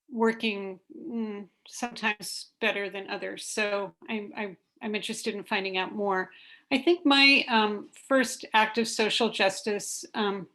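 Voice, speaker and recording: Woman faculty member on a video call, mid-pitched, hesitant and halting. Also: a bit bright. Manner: hesitant, many fillers. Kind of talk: casual self-introduction.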